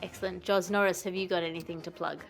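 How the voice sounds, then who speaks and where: A woman's voice: on the low side, fairly even intonation, fast talker, soft background music. A woman speaking as a podcast host, moving between casual chat and scripted promotion.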